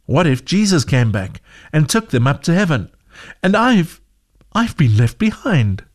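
A man's voice: a little high, sing-song, a little slow, voice pushed up. A man voicing a frightened young schoolgirl in a storybook reading, his voice lifted and pleading.

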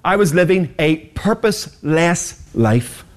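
A man's voice: a little high, expressive, slow pace. A man, preaching a sermon as pastor, passionate and rhetorical with humorous asides. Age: 40s.

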